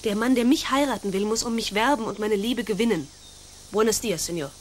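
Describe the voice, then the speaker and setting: A woman's voice: mid-range voice, a little fast, a little hiss or hum, lightly acted. Woman playing a proud, spirited young noblewoman. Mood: proud, challenging. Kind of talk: radio play acting.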